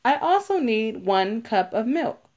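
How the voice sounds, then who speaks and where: A woman reading a children's picture book aloud, deliberately, stressing key words as she teaches. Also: on the high side, big pitch swings, darkish tone, highs cut off. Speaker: read-aloud narrator.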